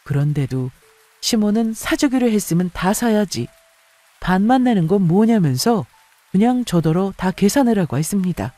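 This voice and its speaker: A woman's voice: mid-range voice, big pitch swings, normal speed, warm tone, no echo. A woman reading a scripted first-person story as a narrator.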